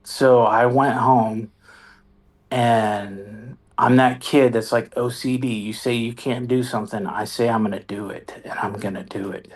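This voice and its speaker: A man's voice: medium pitch, steady tone, unhurried, husky. A man, a military veteran and songwriter, telling his story in a candid, rambling, self-deprecating way as an interview guest.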